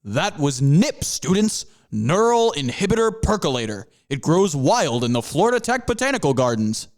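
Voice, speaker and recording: A man's voice: a little high, big pitch swings, normal speed, darkish tone. A man voicing a cheerful male panther mascot who is a scientist, lecturing his students in a proud, upbeat way. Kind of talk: amateur comedy audio drama.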